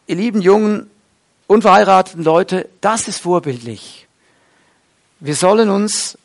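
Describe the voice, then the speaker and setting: A middle-aged man preaching a sermon, explaining a Bible passage in an earnest way with frequent hesitations. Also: sits high.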